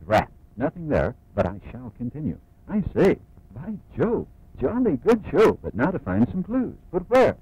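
A man's voice: a little high, wildly swooping pitch, slow pace, darkish tone, husky, no room sound, full character voice. A man voicing a pompous, cheerfully self-assured cartoon detective with theatrical exclamations. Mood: pompous, inquisitive. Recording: muffled, lo-fi old film soundtrack.

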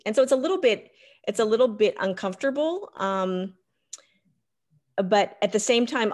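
A woman with a lowish voice joins a group discussion on a video call. She feels her way through her thoughts, restarting sentences as she goes. The sound comes through a computer microphone. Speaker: discussion participant. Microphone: computer mic on a video call.

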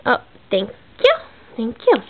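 A woman chatting casually to a baby in an encouraging, sing-song, coaxing way at home. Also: a little slow. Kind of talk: casual baby-directed chat. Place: carpeted room at home. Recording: noisy, thin phone-like home-video audio.

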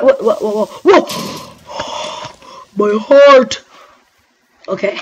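A woman giving rambling, excitable let's-play commentary over her gameplay, talking straight to her viewers. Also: mid-range voice, breathy edge. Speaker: gaming commentator. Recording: dull lo-fi mic with faint music.

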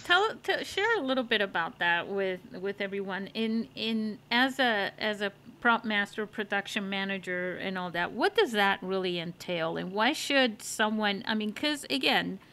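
A woman's voice: medium pitch, animated, normal speed, few pauses, pushed and bright. A woman hosting a casual podcast interview, informal and feeling her way through questions. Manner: informal, searches for wording as she asks. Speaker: podcast host.

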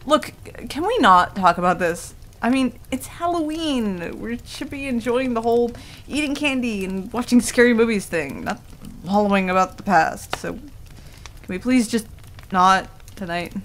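A woman's voice: a little high, expressive, unhurried, a bit bright. A woman playing a prickly, easily flustered tsundere werewolf in a casual ASMR roleplay, with a defensive, stammering manner. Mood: reluctant, vulnerable. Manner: casual, defensive, stammering when embarrassed, swears. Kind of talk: intimate ASMR roleplay acting. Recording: clearly audible background noise.

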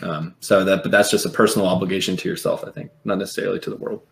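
Deep-voiced man in an echoey room. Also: little pitch movement, gravelly. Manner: philosophical, qualifying, halting. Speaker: panelist. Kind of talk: panel discussion on a video call. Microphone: video call from a room. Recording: echoey room on video call.

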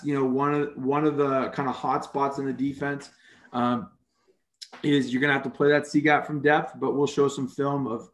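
A man's voice: medium pitch, roomy sound. A man from Canada presenting a football coaching clinic over a video call, explaining defensive structures in a casual way with many fillers. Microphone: video call microphone.

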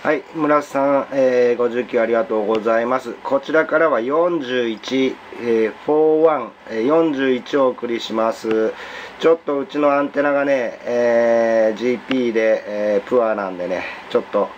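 A man's voice: medium pitch, moderate pace. A man working as an amateur radio operator, speaking politely and procedurally as he exchanges call signs and reports. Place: indoors at a home radio desk. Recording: dull lo-fi room recording with faint noise.

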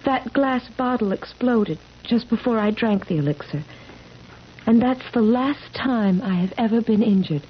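An actress playing a solemn, insistent young wife who never ages, in 1970s radio drama. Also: female voice, a little high, lively intonation, measured pace. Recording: dull, noisy 1970s radio recording.

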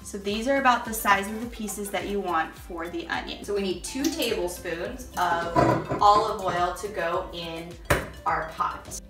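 A woman's voice: medium pitch, measured pace, reverberant, music in the background. A young woman in her home kitchen, walking children through a soup recipe step by step in a friendly, instructing way. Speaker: cooking teacher. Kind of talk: kids' cooking lesson.

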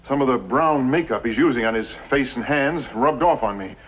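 An American actor playing a composed, suspicious Old West gentleman with formal, courteous speech in a 1950s television western. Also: medium pitch, moderate pace, close to an everyday voice. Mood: composed, accusing. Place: indoor studio office set. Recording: dull, noisy vintage TV soundtrack.

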